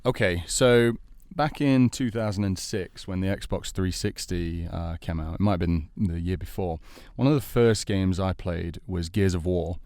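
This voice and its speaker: A man's voice: low-pitched, normal speed, few pauses, a little mellow, no echo. A man co-hosting a podcast, making his case in casual debate with hesitant, considered phrasing. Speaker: podcast co-host.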